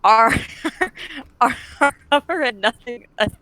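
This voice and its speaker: A woman's voice: on the high side, slow, sharp tone, no echo. A woman chatting casually on a livestream, playful and giggly. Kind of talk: casual group chat.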